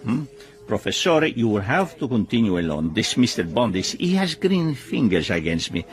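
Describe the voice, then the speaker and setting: An actor playing a theatrical, jovial yet needling Italian gambler in a radio drama, effusive and full of questioning hums, with an Italian accent. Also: male voice, on the low side, very animated, moderate pace. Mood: theatrically dismayed.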